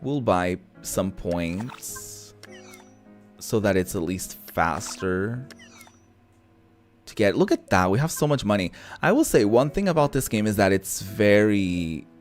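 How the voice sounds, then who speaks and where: Slow, low-voiced man playing games. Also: animated. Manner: casual, mildly sweary, thinks out loud. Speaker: gaming host. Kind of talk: casual let's-play commentary. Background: background game music.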